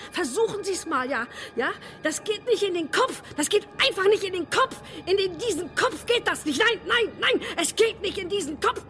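A woman's voice: very high-pitched, a bit bright, heavily acted. An actress playing a distraught, insistent woman in a radio drama, repeating herself and questioning. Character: distraught woman grappling with a mother's sacrifice. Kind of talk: literary radio drama monologue.

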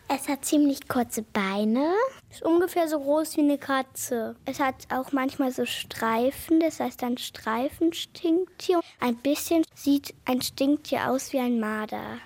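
A young female contributor explaining things simply in her own words. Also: high voice. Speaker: young contributor answering questions.

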